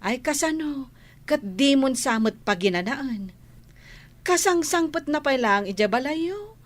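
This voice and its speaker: Woman as complaining village sister-in-law, Ilocano accent, high voice, gossipy, comedy radio play. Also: expressive, unhurried. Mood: aggrieved, scolding. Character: aggrieved, gossipy village sister-in-law, protective of her brother.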